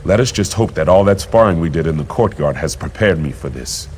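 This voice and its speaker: An actor playing a dutiful adult prince in a game, speaking in solemn, formal lines. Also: male voice, a bass voice, expressive, a little fast, darkish tone, slight background noise. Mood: grave. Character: dutiful adult prince, grave and self-sacrificing. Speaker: game character.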